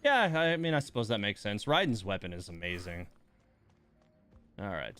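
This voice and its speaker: A man's voice: on the low side, sing-song, moderate pace, a bit bright, clear, resonant tone, soft background music. A man giving casual live commentary as he plays a game, muttering and grumbling his reactions. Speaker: game streamer.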